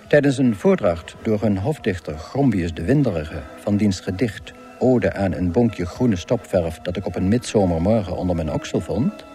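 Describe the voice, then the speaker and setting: A man narrating a comic space radio drama as a wry storyteller, in a measured, deadpan manner. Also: fairly low pitch, close to an everyday voice.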